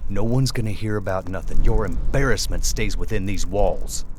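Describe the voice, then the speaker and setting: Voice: sits low, normal speed, noisy background, close to an everyday voice. A performer playing a proud, pious and cruel farmer husband with folksy rural speech in an audio play. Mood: scornful, threatening. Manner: folksy rural speech, swinging from hushed threat to strained pleading. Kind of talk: theatrical one-act audio play acting.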